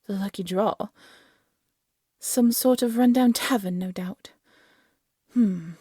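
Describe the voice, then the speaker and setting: A woman's voice: medium pitch, slow pace, warm tone, breathy edge, no room sound, clean studio sound. A woman playing a vain, scheming evil fairy-tale queen in an audio drama, imperious and theatrical. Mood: sly, scornful.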